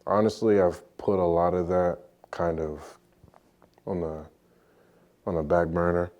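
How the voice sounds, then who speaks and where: A young man in his early twenties with a very low, dark and relaxed voice. He talks about himself as if in an interview, reflective and halting, taking his time. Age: early 20s. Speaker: youth who aged out of foster care. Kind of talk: personal interview testimony.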